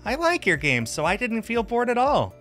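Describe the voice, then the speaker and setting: A man's voice: very high-pitched, wildly swooping pitch, normal speed, pushed and bright, faint music behind, pitched above the performer's own voice, full character voice. A man voicing a carefree, unapologetic teenage girl in a visual novel read-aloud. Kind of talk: acted visual novel dialogue.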